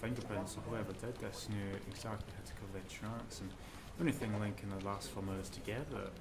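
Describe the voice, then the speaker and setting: A man playing an exasperated, sceptical police detective in an amateur audio drama, briefing in a gruff way. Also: fairly low pitch, steady tone, brisk, background noise, natural voice. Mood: grim, briefing.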